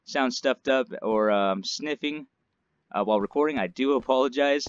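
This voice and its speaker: A man's voice: mid-range voice, normal speed, clear, resonant tone, no room sound. A man narrates his survival game session in a loose, rambling way, dropping fillers as he builds. His nose is stuffed up from allergies, and his mid-range voice comes through slightly dull, lo-fi audio. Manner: chatty, rambling, many fillers. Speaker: gaming channel host. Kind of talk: casual let's-play commentary.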